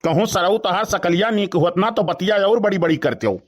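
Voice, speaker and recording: High-pitched irritable village father played by a man. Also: brisk. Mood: scolding. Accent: Awadhi, eastern Uttar Pradesh. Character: irritable, scolding village father of a young woman. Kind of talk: comedy cartoon voice acting in village dialect.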